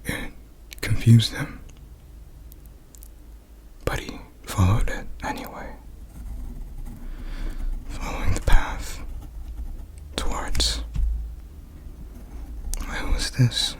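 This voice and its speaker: A young man whispering ASMR rambles and improvised stories in a hushed, playful way with drawn-out phrasing. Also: medium pitch. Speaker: ASMR video creator.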